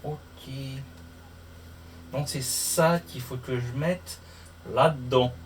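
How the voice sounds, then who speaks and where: Male vlogger, mid-pitched and unhurried, casual how-to chat muttered while working, picked up from a distance. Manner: muttering to himself while working through steps. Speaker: motorcycle vlogger. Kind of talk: casual hands-on tutorial chat to camera. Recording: distant mic, clear background noise.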